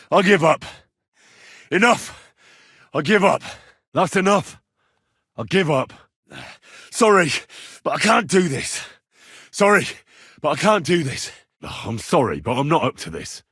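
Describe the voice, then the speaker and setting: A man playing a brash, blunt young medieval squire in a video game, with a husky voice. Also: on the high side, a bit bright, dry recording, studio quality, full character voice. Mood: exasperated. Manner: blunt, colloquial, assertive. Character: brash young medieval Bohemian squire, plain-spoken and quick-tempered. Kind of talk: video game dialogue acting.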